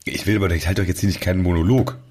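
Fast-talking man with a husky voice. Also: deep voice, fairly even intonation, a little mellow. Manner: talkative, rambling, breaks off sentences. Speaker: podcast host. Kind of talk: casual chat.

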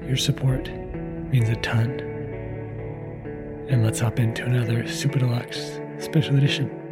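A man introducing a bedtime podcast episode in a hushed, unhurried manner. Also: mid-range voice, flat delivery, over loud music.

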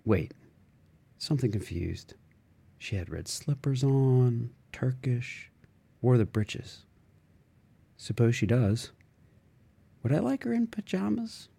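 A man reading a literary audiobook, voicing an interior monologue in musing, fragmented phrases with expressive shifts. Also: mid-range voice, slow pace, gravelly, pauses often, breathy edge. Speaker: audiobook reader.

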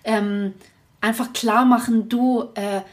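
A young woman with a voice a little higher than most speaks in a casual podcast conversation. She talks candidly and at length, circling back with restarts as she thinks aloud. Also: fairly even intonation, slow. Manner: candid, talks in long reflective runs with restarts. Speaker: podcast co-host.

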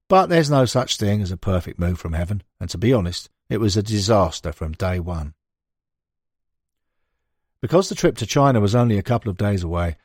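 Husky low-voiced man narrating a book. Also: a little mellow, no echo, clean studio sound. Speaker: audiobook narrator. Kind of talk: scripted narration of a non-fiction football book.

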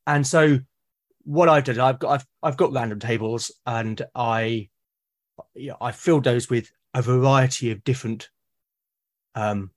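Man, British accent, mid-pitched voice, casual podcast guest, halting, repetitive phrasing, thinking aloud. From Britain. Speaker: podcast guest, solo roleplaying gamer. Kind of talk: casual interview conversation.

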